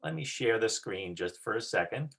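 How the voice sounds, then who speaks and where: A drama teacher giving deliberate, emphatic instruction in short phrases during an online rehearsal. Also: mid-range voice, animated, gravelly, fairly bright tone, breathy edge. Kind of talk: instructional talk on a video call.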